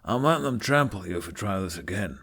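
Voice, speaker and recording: A man voicing a gruff, scolding bard who keeps horses, in a fantasy audiobook. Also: low voice, lively intonation, brisk, a bit bright, heavily acted.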